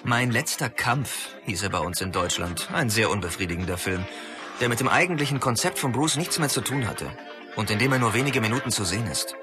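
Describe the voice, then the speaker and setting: A man's voice: mid-range voice, lively intonation, brisk, natural voice. Man as know-it-all movie fan over music. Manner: matter-of-fact, correcting, know-it-all. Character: knowledgeable, pedantic male movie fan. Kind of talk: scripted radio play dialogue.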